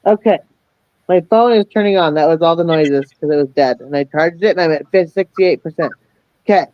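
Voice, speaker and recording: A woman's voice: deep voice, brisk, dry recording. A woman chatting casually on a livestream, playfully reading out would-you-rather questions. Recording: dull lo-fi call audio.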